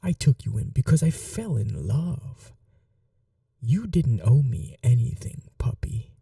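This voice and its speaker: A woman playing a possessive, wounded vampire mistress in an intimate ASMR roleplay, speaking softly and breathily, often in whispers, with slow, tender coaxing. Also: a bass voice, big pitch swings, gravelly, husky, dry recording, clean studio sound, far from the mic, full character voice. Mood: wounded, bitter.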